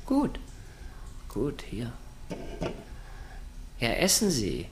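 Pleasant, polite, calm male kidnapper. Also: mid-range voice, noisy background, heavily acted. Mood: courteous. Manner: courteous, terse, unhurried. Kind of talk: German radio crime drama acting.

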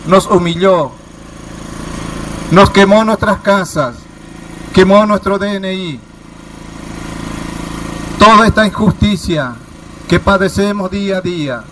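Impassioned high-voiced man giving a protest speech. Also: takes it slowly, long pauses, fairly bright tone, slight background noise. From Formosa province, Argentina. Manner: impassioned, rhetorical questions, raised voice.

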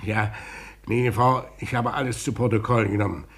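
An actor playing a brisk, courteous but harried police commissioner in a 1950s German radio play, clipped and businesslike. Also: male voice, on the low side, steady tone, a bit bright. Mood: patient, weary.

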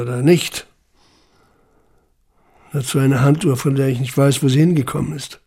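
A man's voice: mid-range voice, vocal fry, a little mellow, breathy edge. A man delivering scripted first-person literary narration in an intense, brooding manner.

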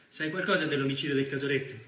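An actor playing a sober, dogged newspaper journalist, narrating in measured, clipped phrases as theatre. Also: male voice, mid-range voice, natural voice. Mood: watchful. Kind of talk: theatrical first-person narration in present tense. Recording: roomy stage sound with loud music. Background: music.

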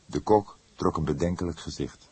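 Low, husky male audiobook narrator. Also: moderate pace, a little mellow, no echo. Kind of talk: expressive scripted crime fiction narration.

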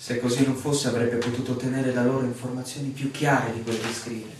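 An actor in a radio drama playing a frustrated, suspicious newcomer to a village, theatrical and full of insistent questions. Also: male voice, medium pitch, flat delivery, brisk, close to an everyday voice. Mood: agitated, suspicious. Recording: echoey, distant, noisy room sound.

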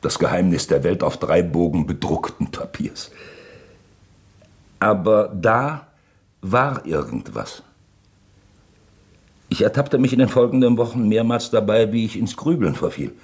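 Elderly, haunted uncle for radio drama: very low, husky, pensive, uneasy. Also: male voice, very animated, darkish tone, exaggerated voice. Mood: musing, rueful. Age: older. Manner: halting, pensive, dramatic when quoting. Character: brooding elderly uncle, uneasy and fascinated by a manuscript.

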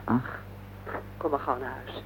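A woman's voice: a bass voice, steady tone, unhurried. An actress playing a worried, insistent wife in her forties in a radio play, speaking earnestly and plaintively. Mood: reproachful. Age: 40s. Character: worried, insistent wife of forty-one, earnest and heavy-hearted. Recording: noisy, band-limited old radio play recording.

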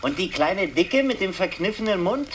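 An actor in a 1960s radio play voicing a young war-hero fiancé with a wry, intimate manner. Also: male voice, high voice, very animated, moderate pace. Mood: wry, amused. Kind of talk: 1960s radio drama acting. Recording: distant, noisy 1960s radio tape.

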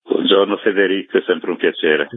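An extremely deep-voiced man with a husky tone explains his work as a documentary director. He talks conversationally, as a radio guest on a narrow phone-like line. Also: fairly even intonation, fairly quick, no room sound.

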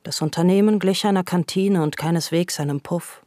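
A woman's voice: fairly low pitch, a little fast, warm tone, no echo, clean studio sound. A woman reading scripted literary narration for a radio play.

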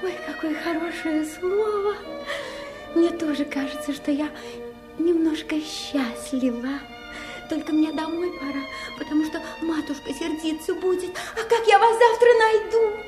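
Casting: naive, tender young princess, extremely high childlike voice, echoey audio play sound. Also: female voice, a little slow, over music. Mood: happy. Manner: childlike, artless, breathy exclamations. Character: gentle naive young princess, tearful, self-doubting, easily delighted. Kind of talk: theatrical fairy-tale radio play acting.

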